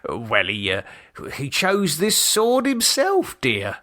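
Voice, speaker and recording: A man's voice: a little high, huge pitch swings, slow, bright, forceful tone, pitched above the performer's own voice, heavily acted. A man voicing a fond, playful father in an audiobook, explaining things with hesitant affection and a touch of comic menace. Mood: fond, proud. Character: fond playful English father, gleefully wicked about swords.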